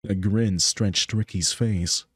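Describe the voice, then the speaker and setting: A man's voice: on the low side, steady tone, slow pace, a bit bright, husky, no echo, studio quality. A man reading scripted story narration for a visual novel. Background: background music.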